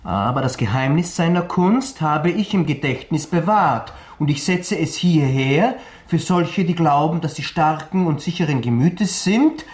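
An actor playing a serious, resolute gentleman of 1914 Vienna in a German radio play, speaking in a measured way. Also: a little high, lively intonation. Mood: solemn. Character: Felix, sober, resolute gentleman in 1914 Vienna, reading and questioning. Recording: echoey room.

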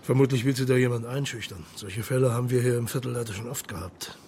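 A man acting a patient police marshal in a German radio crime play. His mid-pitched voice is measured and probing, turning calmly reassuring. Faint noise and ambience sit behind him. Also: natural voice. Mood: placating.

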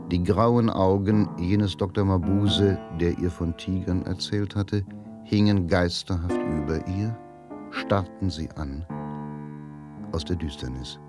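A man narrating a radio drama in a dramatic, scripted style. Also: deep voice.

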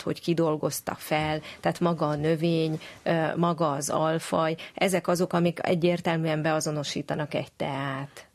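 A woman's voice: low voice, darkish tone. A woman speaking as an expert guest in an interview, explaining things in detail with frequent hesitations.

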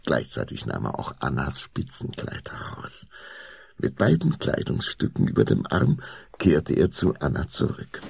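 A man's voice: very deep voice, wildly swooping pitch, measured pace, creaky, a little mellow, slightly rough, dry recording, studio quality. A man narrating a children's spooky radio play in a theatrical, suspenseful way. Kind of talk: dramatic scripted narration of children's horror story.

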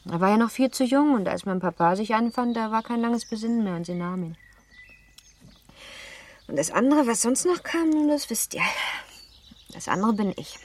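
A woman acting a lively, playful, well-born girl of seventeen in a German radio play, chatty and teasing. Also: on the high side, moderate pace. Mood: confiding. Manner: chatty, teasing, self-assured.

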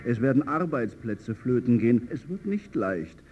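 A man's voice: mid-range voice, sing-song, moderate pace, lo-fi sound, slight background noise, natural voice. An actor playing a hard-nosed, middle-aged club chairman in a radio drama, talking bluntly and with command. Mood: stern, threatening.

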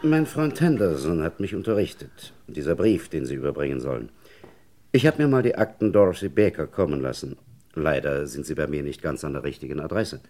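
An actor playing a courteous public prosecutor in a German crime radio play, speaking in an official, deliberate way. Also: male voice, a bass voice, lively intonation, dark tone. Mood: formal.

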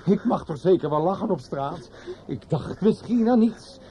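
A man's voice: sits high, expressive, unhurried, mellow. An actor playing an indignant, bewildered man in the street in a radio play, protesting in a flustered, stammering way. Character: indignant, bewildered male passer-by accused on the street. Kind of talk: radio drama acting. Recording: slightly noisy old radio play recording.

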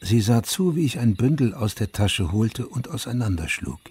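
An actor playing a haunted middle-aged veteran and father, narrating his story in a radio drama in a subdued, measured, reflective way with a husky voice. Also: mid-range voice, very animated, darkish tone. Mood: somber, reflective. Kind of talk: literary first-person radio drama narration.

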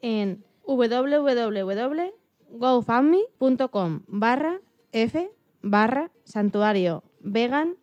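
A woman's voice: fairly high pitch, lively intonation, takes it slowly, a little mellow, no echo, dull audio. A woman co-presenting a radio programme, reading out scripted announcements.

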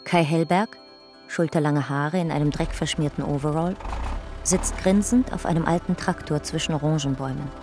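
An actress as a sober documentary narrator in a radio drama, giving measured, matter-of-fact scripted descriptions. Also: female voice, sits low, over music, natural voice. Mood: sober, factual.

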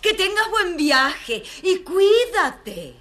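An actress playing a vain, dazzled young maiden who dreams of riches, in a theatrical children's storybook style. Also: female voice, extremely high pitch, big pitch swings, extremely slow, pushed and bright, heavily acted. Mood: fond. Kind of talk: children's audio storybook acting.

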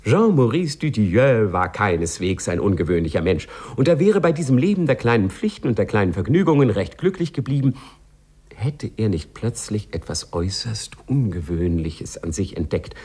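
An actor as a wry, measured storyteller, narrating a scripted 1950s radio play. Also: male voice, on the low side, very animated, a little mellow, husky.

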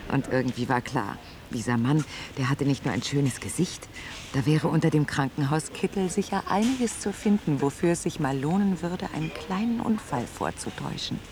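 An actor narrating a radio drama in an observational, detailed storytelling way. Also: male voice, fairly high pitch, lively intonation, normal speed, darkish tone, natural voice. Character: storytelling male narrator describing an airport worker's life. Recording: clear ambient noise behind.

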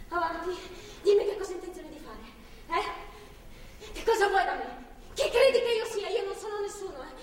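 An actress playing a frightened, angry captive woman in a stage drama, pleading and demanding insistently. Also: extremely high pitch. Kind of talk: theatrical stage drama acting. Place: theatre stage, picked up from afar. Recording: echoey hall, distant mic, faint noise.

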